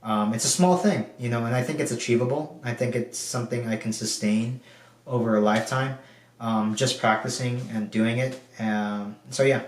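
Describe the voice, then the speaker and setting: A man's voice: mid-range voice, distant mic. Chatty young man in an echoey room. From Philippines. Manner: relaxed, rambling, frequent um and like fillers. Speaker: video diarist. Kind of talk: casual personal vlog. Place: room at home.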